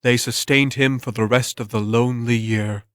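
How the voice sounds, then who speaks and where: A man's voice: mid-range voice, fairly even intonation, moderate pace, a bit bright, smooth, dry recording. Male narrator for a dramatic story, mid-range voice, scripted storytelling read, dry acoustic with no room sound.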